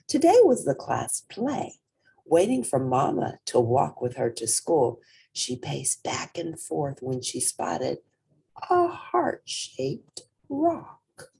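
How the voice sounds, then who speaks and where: A woman reading a children's picture book aloud in an animated, dramatic way, with a husky, breathy voice that drops into whispery tones and lingers on certain words. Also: deep voice, slow. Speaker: storybook reader.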